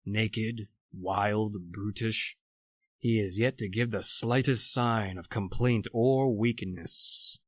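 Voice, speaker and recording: An actor playing a proud, educated doctor adrift at sea, in a formal, theatrical old-time radio horror style. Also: male voice, lowish voice, fairly even intonation, slow, no room sound. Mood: grave, brooding.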